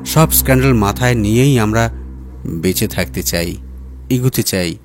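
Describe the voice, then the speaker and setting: A man voicing an earnest, resolute lover in an audiobook, speaking in a slow, quiet, deliberate way. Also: on the low side, a little hiss or hum, close to an everyday voice. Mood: quietly resolute. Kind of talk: acted audiobook dialogue.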